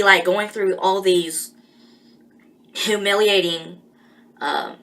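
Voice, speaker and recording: Slow, halting woman with a bright voice. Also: medium pitch. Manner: halting and rambling, with many pauses and restarts. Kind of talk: personal spoken monologue.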